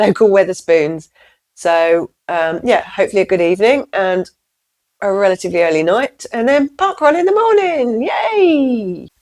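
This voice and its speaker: A woman vlogger from England, croaky from a cold, chatting casually with fillers and small laughs. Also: fairly high pitch, darkish tone. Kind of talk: casual vlog.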